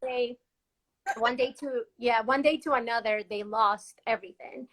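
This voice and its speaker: A woman's voice: fairly high pitch, fairly even intonation, normal speed, a bit bright, rough recording. A young woman from Peru, a guest in a casual video-call interview, chatty with lots of fillers and laughs. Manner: chatty, laughs often, many fillers and restarts.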